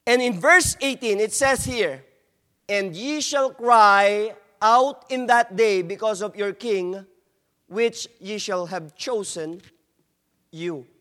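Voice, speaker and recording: A man from the Philippines with a strong Filipino accent, preaching a slow, emphatic sermon as a guest preacher and reading scripture. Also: high-pitched, pushed and bright, long reverb tail. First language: not English.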